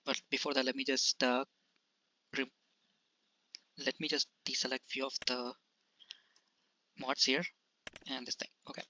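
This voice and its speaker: A man's voice: mid-range voice, little pitch movement, measured pace, pauses often, sharp tone, highs cut off, slight background noise. A man from India hosting his gaming channel, talking through a farming game casually with many hesitations and restarts. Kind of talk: casual gameplay commentary.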